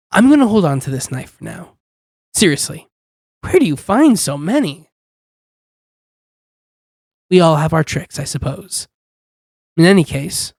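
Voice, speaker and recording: Smug demon contract-dealer, acted by an amateur performer, mid-pitched, dry intimate ASMR delivery, teasing and in control. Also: darkish tone, no room sound. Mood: coaxing. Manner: teasing, coaxing, unhurried with lingering pauses. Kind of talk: ASMR roleplay, intimate scripted monologue.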